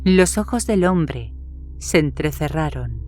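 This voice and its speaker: A woman's voice: deep voice, lively intonation, slow pace, a little mellow. A woman reading a horror story as a scripted audiobook narration. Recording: background music under the voice. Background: music.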